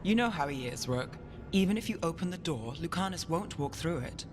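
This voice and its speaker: A woman's voice: lowish voice, lively intonation, fairly quick, natural voice. A woman playing a wry, sceptical companion in a video game, with dry, teasing delivery. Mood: resigned, sceptical. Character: wry, world-weary female companion guarding a door. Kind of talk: video game character acting. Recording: long reverb under clear music.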